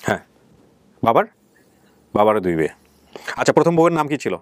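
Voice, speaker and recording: Fast-talking Bangladeshi male show host. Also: medium pitch, sing-song, no room sound, studio quality. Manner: explanatory, probing with repeated rephrased questions. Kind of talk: conversational interviewing on a family reunion show.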